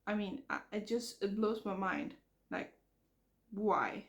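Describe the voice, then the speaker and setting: A woman vlogging outdoors by a road, talking frankly in a halting way while she comes down with a cold and her voice cracks. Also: medium pitch. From Netherlands. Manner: frank, halting, repeats words. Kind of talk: casual rambling vlog. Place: outdoors near traffic.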